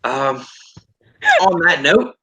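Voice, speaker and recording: A man's voice: a little high, lively intonation, very slow, pushed and bright, a little hiss or hum. A man hosting a casual commentary chat in a blunt, sardonic, sweary way. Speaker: host.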